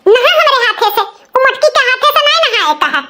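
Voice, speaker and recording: A man's voice: extremely high pitch, big pitch swings, fairly quick, heavy background noise. A man voicing a vain, jealous young village woman in a comic cartoon, with an Awadhi accent and a nagging, taunting manner. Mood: bossy, exasperated. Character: vain, jealous, scolding young village sister-in-law, a mother.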